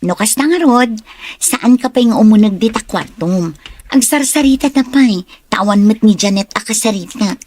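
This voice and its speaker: A woman's voice: fairly high pitch, animated, normal speed, darkish tone, no room sound. An actress playing a scheming but remorseful young woman in an emotional Ilocano radio drama. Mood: sly, coaxing.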